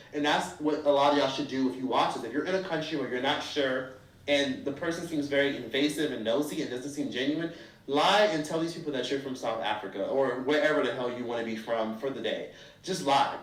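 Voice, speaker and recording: A man giving blunt, animated travel advice in a casual monologue, sometimes acting out strangers' voices. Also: medium pitch, a little fast. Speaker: travel vlogger. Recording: roomy echo from a distance.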